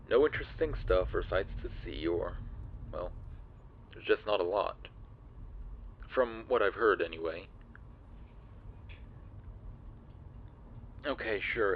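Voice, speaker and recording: An awkward, sardonic monster in a friend's shape, acted by a young man for an audio roleplay. His voice is low and casual, with hesitant, wry phrasing. Some background noise sits behind it. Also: echoey room, narrow, tinny audio. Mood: casual, dismissive. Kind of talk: audio roleplay acting, spoken to the listener.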